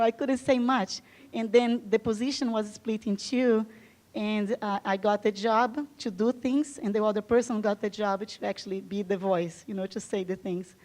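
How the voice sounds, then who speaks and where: A woman from Brazil, a native Portuguese speaker, speaks to a live audience at a design event. She talks with enthusiasm in a chatty, loose way, often leaning on fillers, at a medium pitch. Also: a little mellow. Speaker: guest speaker. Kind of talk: conference talk.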